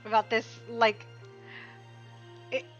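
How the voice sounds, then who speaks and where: A woman's voice: fairly high pitch, slow, highs cut off, faint music behind. A young woman chatting casually and expressively to herself as she streams a video game. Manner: expressive, self-talking, playful. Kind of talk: casual live gameplay commentary.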